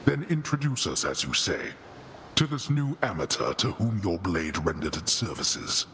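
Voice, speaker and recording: A man playing a stern, haughty god in a video game, with formal, lofty and deliberate speech. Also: low-pitched, very animated, fairly bright tone, slight background noise, exaggerated voice. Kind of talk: video game character dialogue.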